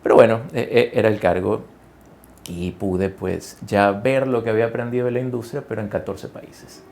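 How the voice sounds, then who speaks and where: A man from Ecuador in his forties, talking through his career in a relaxed interview, with lots of fillers, restarts and tag questions. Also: fairly low pitch, moderate pace, vocal fry, a little hiss or hum. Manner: conversational, many fillers and self-corrections, tag questions. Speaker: guest executive. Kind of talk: interview conversation.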